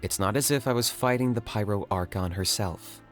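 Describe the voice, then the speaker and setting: A man's voice: on the low side, moderate pace, soft background music, close to an everyday voice. A man voicing a stoic young warrior who answers in a dry, terse way. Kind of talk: game cutscene voice acting.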